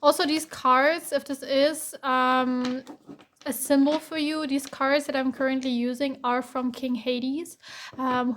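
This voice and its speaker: Woman, hoarse, cracking voice, high-pitched, monotone, casual tarot reading, reassuring. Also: slight background noise. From Germany. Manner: reassuring, repeats herself, many fillers. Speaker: tarot reader.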